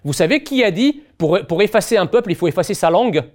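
Man, pitched a touch high, sharp and sarcastic debater, interrupts constantly. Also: animated, fast. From Egypt. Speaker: debate guest. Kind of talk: heated debate.

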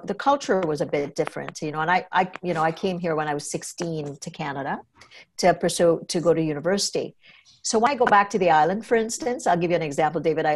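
A woman's voice: lowish voice, a little hiss or hum. A woman from Trinidad and Tobago hosting a podcast conversation, chatting and telling personal stories with quoted dialogue. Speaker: podcast host and consultant.

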